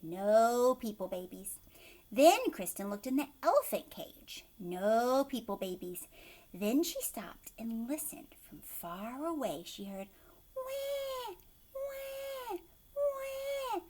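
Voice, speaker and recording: A woman teacher reading a storybook aloud to children, expressive and addressing young listeners directly. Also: on the high side, slow pace.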